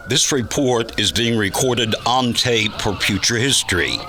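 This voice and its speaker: A man's voice: medium pitch, unhurried, pushed and bright, a little hiss or hum. A man playing a sober radio reporter in a drama, delivering a grave, formal eyewitness report. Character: sober radio reporter recording history at bomb site.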